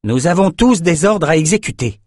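A man voicing a calm, sensible military captain in a fantasy audiobook. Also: fairly high pitch, moderate pace, no room sound, studio quality, voice pushed up. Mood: measured.

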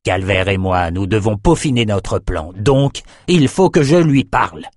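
A stern, impatient warlord general, as a male reader gives him voice, clipped and commanding. His tone sits a little higher and louder than the low narration around him, in a dry, close sound. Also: animated, unhurried, no room sound.

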